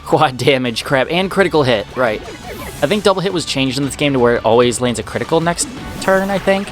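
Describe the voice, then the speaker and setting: A man commentating his own let's play with an everyday middle pitch, chatty and quick to react aloud to each turn. He sounds slightly sick, his voice a touch off. Faint game music plays underneath him. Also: lively intonation. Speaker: gaming channel host.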